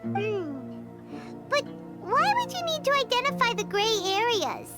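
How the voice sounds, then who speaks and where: A woman voicing a small, childlike, curious and blunt fairy companion in a video game, with a squeaky voice. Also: sing-song, unhurried, exaggerated voice. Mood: curious, puzzled. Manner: inquisitive, exclaiming. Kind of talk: video game cutscene voice acting. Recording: children's music behind the voice.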